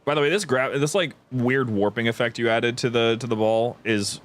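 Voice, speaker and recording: A man's voice: medium pitch, moderate pace. A man hosting a gaming stream with informal, easygoing chat.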